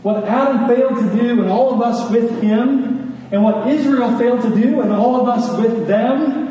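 Guy preaching earnestly, mellow voice, lots of rhetorical questions, big echoey room. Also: very high-pitched. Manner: earnest, rhetorical questions, emphatic pauses and repetition. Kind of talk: sermon, teaching scripture to a congregation. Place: large reverberant room. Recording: echoey hall, distant mic, faint noise.

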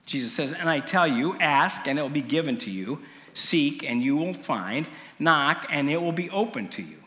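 Animated male preacher in a reverberant hall. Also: a little high. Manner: conversational, halting, with frequent pauses and throat clearing. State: slightly rough morning voice. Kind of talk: sermon with illustrative storytelling.

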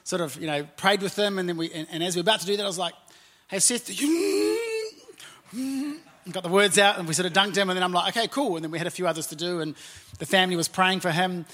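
A New Zealand pastor in his forties gives a chatty sermon from the stage of a church. His voice sits a little high for a man, and he fills his phrases with easy "and, uh" pauses. He talks to the congregation as if in conversation. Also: animated. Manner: warm, conversational preaching with frequent "and, uh" fillers. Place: church auditorium.

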